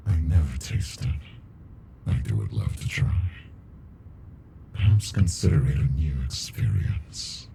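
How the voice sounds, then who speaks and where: A man voicing an ancient, weary alien monster in captivity for an ASMR roleplay, speaking in a gravelly, brooding murmur, condescending yet intimate. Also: expressive, slow, pauses often, background noise, full character voice. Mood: fascinated, amused. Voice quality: very deep, gravelly, breathy murmur. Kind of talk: ASMR character roleplay monologue.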